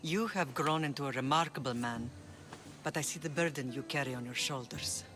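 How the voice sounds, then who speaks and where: An actress playing a middle-aged mother who leads a Greek island, speaking in a measured, earnest, formal way in a dramatic game scene. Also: rumbling low, fairly bright tone. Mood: weary warmth. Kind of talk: dramatic game cutscene acting. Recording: music behind the voice.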